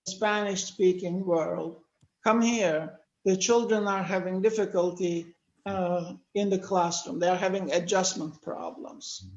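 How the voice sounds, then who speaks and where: An older man from Turkey, speaking natively, giving a measured lecture-style talk with many pauses. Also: sits high, steady tone, roomy sound, highs cut off. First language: Turkish. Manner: measured, explanatory, with frequent "uh" pauses. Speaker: panel speaker. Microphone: video call.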